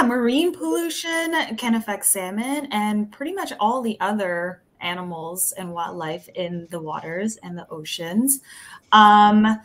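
A woman's voice: medium pitch, fairly bright tone. A woman speaking about marine life, friendly and simple, on a video call. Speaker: marine conservation panelist. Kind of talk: casual explanation to students. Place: indoor room.

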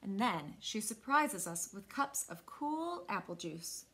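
A woman in her thirties with an American accent, reading a picture book aloud to children with expressive, clearly phrased delivery. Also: medium pitch, slow pace. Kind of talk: children's read-aloud storytelling. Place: small indoor room.

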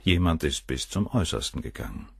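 A man's voice: a bass voice, big pitch swings, a little fast, gravelly, breathy edge, dry recording, clean studio sound, exaggerated voice. An actor in a German crime radio play playing a cold, cynical middle-aged surgeon, detached and coolly superior as he answers questions. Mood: cool, composed.

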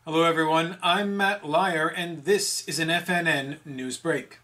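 High-pitched man reading mock news. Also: steady tone, unhurried, bright, forceful tone, faint music behind. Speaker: satirical news anchor. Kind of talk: mock news bulletin, scripted.

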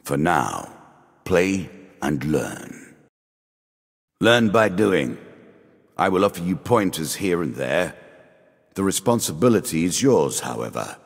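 An ageless, condescending dealer from a fantasy video game, with an extremely low voice. His delivery swings expressively through ominous, theatrical phrases, and a long reverb trails every word. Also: very animated, slow, vocal fry, pauses often, breathy edge, heavily acted. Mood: ominous instruction. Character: ancient, immortal, sardonic dealer of a deadly card game. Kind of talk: scripted fantasy game character lines.

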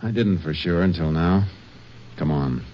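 An actor playing a stern, no-nonsense Texas Ranger, terse and authoritative, in an old radio drama. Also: male voice, low voice, a little slow. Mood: stern, probing. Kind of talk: 1950s radio drama acting and narration. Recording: muffled, noisy old radio recording.

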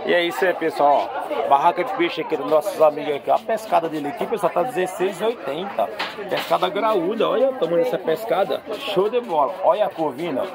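A Brazilian man with a fairly high voice talks casually to his viewers as a street market vlogger. He is chatty and keeps tacking on short repeated tags. He is recorded outdoors with some crowd noise around him. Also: a little fast, vocal fry. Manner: chatty, repeats tag phrases.

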